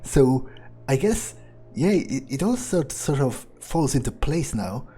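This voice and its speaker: A man doing in-character gameplay commentary, thinking aloud in a halting, profane, sardonic mutter with a husky voice. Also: medium pitch, very animated, warm tone, faint music behind. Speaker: gamer role-playing his character.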